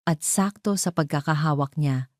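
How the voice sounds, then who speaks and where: A woman's voice: deep voice, moderate pace, a little mellow, no room sound, studio quality. A woman narrating a scripted romantic drama story as a storyteller.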